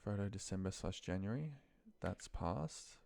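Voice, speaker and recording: A man with a low, gravelly voice and a dark, relaxed tone. He talks himself through on-screen work in an offhand, muttered way, as an informal walkthrough. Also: measured pace, no room sound. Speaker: office worker recording a spreadsheet walkthrough. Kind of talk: informal screen-recording walkthrough, thinking aloud.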